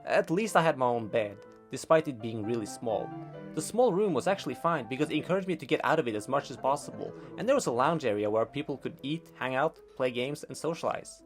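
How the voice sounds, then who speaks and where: A young man from Norway narrating his own vlog in a scripted voice-over with dry, deadpan humor. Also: mid-range voice, fairly quick, faint music behind. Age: young adult. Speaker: vlogger narrating his life.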